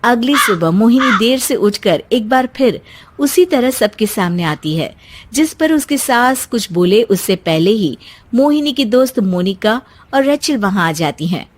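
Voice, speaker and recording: A woman's voice: a little high, expressive, measured pace. A woman narrating a scripted cartoon story as its storyteller.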